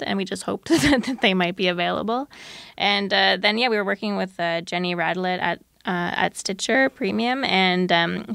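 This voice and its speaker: A woman talks casually as a podcast guest, informal and slightly hesitant. Her voice has plenty of vocal fry at an average pitch, recorded very dry. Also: fairly bright tone, no room sound. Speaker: podcast creator, interview guest. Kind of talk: casual interview chat.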